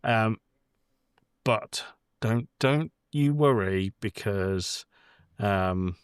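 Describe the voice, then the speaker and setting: A man's voice: lowish voice, extremely slow, clear, resonant tone, no echo, clean studio sound. A man co-hosting a casual podcast, giving blunt opinions in a conversational way with some swearing. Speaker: podcast co-host. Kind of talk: casual movie discussion.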